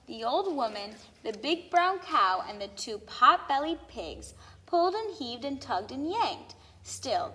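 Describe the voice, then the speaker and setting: A woman reading a children's story aloud with expressive, theatrical emphasis on lists and sound words. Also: a little high. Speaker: storybook reader. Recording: long hall-like reverb.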